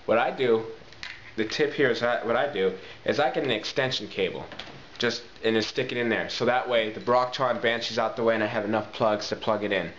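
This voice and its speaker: A man's voice: lowish voice, fairly quick, fairly bright tone. Man giving casual tutorial, muffled echoey sound. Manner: informal, addresses viewers as guys and people, repeats points for emphasis. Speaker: tutorial presenter, talk box player. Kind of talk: casual hands-on how-to video. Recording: muffled, echoey, noisy amateur video sound.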